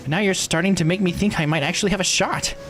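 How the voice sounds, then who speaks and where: A man voicing an earnest, expressive young character in a visual novel, talking in an animated, conversational way. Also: high-pitched, fast, faint music behind. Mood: shy gratitude.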